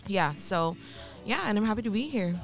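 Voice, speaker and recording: A woman in her twenties from American Samoa, a soft-spoken podcast guest introducing herself. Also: mid-range voice, expressive, normal speed, faint music behind. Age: 20s. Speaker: guest, Bible college student. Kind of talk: casual interview.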